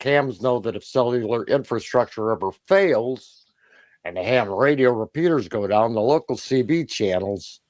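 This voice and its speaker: A man co-hosting a radio hobby show, explaining prepared points with a halting, hesitant delivery. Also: medium pitch, no echo. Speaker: co-host of a ham radio show.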